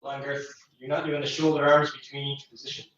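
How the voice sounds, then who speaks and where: A man speaking casually and a little haltingly as he talks through a drill demonstration. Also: mid-range voice, monotone, fairly bright tone. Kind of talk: casual unscripted instruction. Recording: very echoey, muffled room sound.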